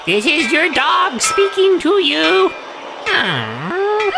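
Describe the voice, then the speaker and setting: Cheeky little cartoonish dog, very high pitch, swooping, excitable, audio drama. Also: male voice, extremely slow, creaky, loud music behind the voice, full character voice. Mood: playful.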